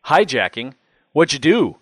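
A man voicing an excitable, fidgety young jail inmate in an audiobook, gushing like a starstruck fan in slangy dude talk. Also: mid-range voice, normal speed, husky, no echo. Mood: starstruck, excited.

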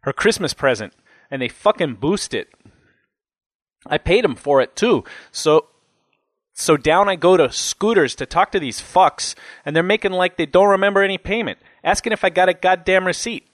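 A man's voice: high-pitched, expressive, normal speed, no echo, pitched above the performer's own voice. A man voicing an angry, foul-mouthed jailed man in his late twenties, ranting and agitated in an audiobook story. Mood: outraged ranting. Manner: agitated, ranting, heavy swearing.

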